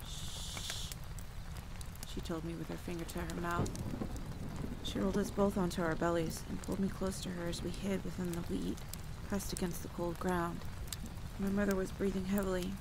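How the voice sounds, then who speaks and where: A woman's voice: lowish voice, little pitch movement, a little fast. A woman performing a scripted first-person campfire tale in a dramatic, suspenseful storytelling manner. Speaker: audio roleplay performer and storyteller. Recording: clear background noise of rain and thunder. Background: rain, rolling thunder and outdoor ambience.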